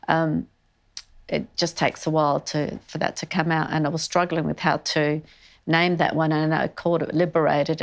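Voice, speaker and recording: Older female artist, low-pitched and fairly flat, reflective interview delivery, candid and explanatory. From born in northern Greece, moved to Australia as a child. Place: indoor wood-panelled art studio. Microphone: lapel mic.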